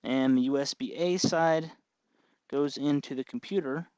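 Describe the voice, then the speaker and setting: Male tutorial host, folksy and informal, mid-pitched voice, explains step by step on a slightly dull recording. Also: a little slow, darkish tone, clear, resonant tone, no room sound. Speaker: host of a hobby electronics tutorial. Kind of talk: informal hands-on tutorial narration.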